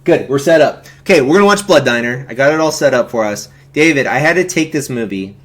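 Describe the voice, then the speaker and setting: A man's voice: mid-range voice, animated, fast talker. A man hosting a film watch-along stream, talking in a casual, jokey, informal way. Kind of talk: casual chat and film commentary.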